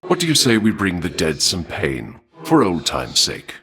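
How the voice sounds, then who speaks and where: A male god of war from a fantasy video game, with a deep, low voice. He is refined and bloodthirsty, choosing formal, old-fashioned phrasing. The delivery is deliberate and theatrical, with menace kept under impeccable politeness. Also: wildly swooping pitch, gravelly, fairly bright tone, exaggerated voice. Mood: eager, conspiratorial.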